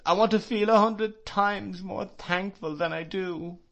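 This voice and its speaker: A man's voice: high-pitched, steady tone, measured pace, gravelly, lo-fi sound, voice pushed up. A man voicing a tearful, remorseful young woman who sobs and pleads. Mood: pleading. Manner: sobbing, pleading.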